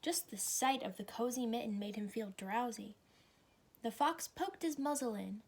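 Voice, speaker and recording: High-voiced woman reading a children's story. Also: normal speed, darkish tone, breathy edge. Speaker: storybook reader. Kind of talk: children's story read aloud.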